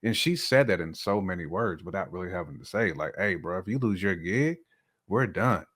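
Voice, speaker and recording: Deep-voiced American man, emphatic casual talk. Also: expressive, normal speed, fairly bright tone, breathy edge. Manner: emphatic, repeats points, acts out imagined quotes. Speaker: podcast co-host. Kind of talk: casual opinionated relationship discussion.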